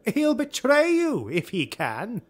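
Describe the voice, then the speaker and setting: Man voicing a blunt warrior, growling and distrustful, pitched high. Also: huge pitch swings, slow pace, full character voice. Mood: suspicious. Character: gruff distrustful male elf warrior.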